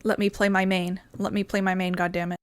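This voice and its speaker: A woman's voice: medium pitch, flat delivery, brisk, smooth, no echo. A woman gaming streamer giving casual commentary as she plays. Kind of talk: casual let's-play commentary. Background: game music.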